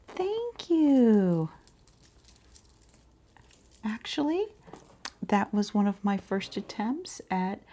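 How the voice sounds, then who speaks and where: A woman doing a quiet ASMR roleplay as a shopkeeper, speaking softly and breathily in an unhurried way, often slipping into a whisper. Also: mid-range voice. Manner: unhurried, gentle pauses, murmured acknowledgements.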